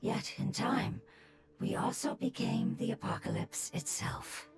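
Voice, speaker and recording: A woman voicing an ancient fungus-like creature from a game, speaking in a breathy, solemn whisper. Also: medium pitch, unhurried, natural voice. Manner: solemn, storytelling. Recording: loud game music behind.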